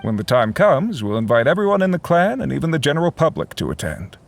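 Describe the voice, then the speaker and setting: Creaky-voiced, courteous clan attendant. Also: male voice, a little high, sing-song, quick pace. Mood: welcoming. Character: hospitable, formal clan attendant, courteous and dutiful. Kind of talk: English video game voice acting. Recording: background music under the voice.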